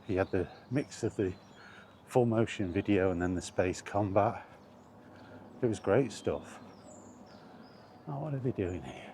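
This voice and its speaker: A man's voice: on the low side, animated, measured pace, pauses often, dark tone. A man rambling casually and easygoingly to himself as a vlogger while out walking, musing with trailing asides. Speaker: solo vlogger. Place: outdoors, walking along streets or paths. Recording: noisy outdoor recording with environmental sounds.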